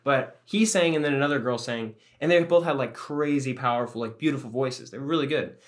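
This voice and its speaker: Young dude on a podcast, rambly jokey storyteller, swears a bunch. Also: male voice, mid-range voice, a little fast. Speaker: podcast co-host. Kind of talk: casual chat.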